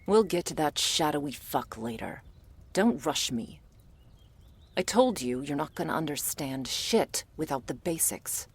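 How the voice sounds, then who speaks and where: A woman playing a gruff, world-weary female monster hunter in an ASMR audio roleplay, curt, sardonic and bossy as she addresses the listener. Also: heavily acted. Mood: irritated, curt. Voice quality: low, husky, breathy with gravelly creak.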